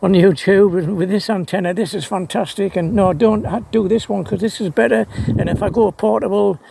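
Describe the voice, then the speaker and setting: A man's voice: sits high, steady tone, a little fast, gravelly, mellow, slight background noise, rough recording. A man rambling casually and enthusiastically about his hobby, often repeating words as he hesitates. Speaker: amateur radio hobbyist vlogger.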